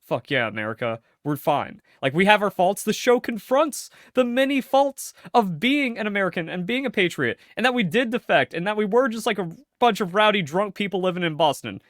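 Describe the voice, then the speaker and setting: A young man co-hosting a casual podcast, ranting in bursts with lots of pitch jumps. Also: fairly quick, few pauses, no echo, clean studio sound. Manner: animated, pitch jumps when fired up. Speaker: podcast co-host. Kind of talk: casual political chat.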